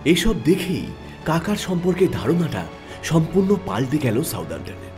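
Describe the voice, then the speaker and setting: A man narrating an audio story in an expressive storytelling manner. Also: fairly high pitch, normal speed, over music. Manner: expressive, rising and falling storytelling.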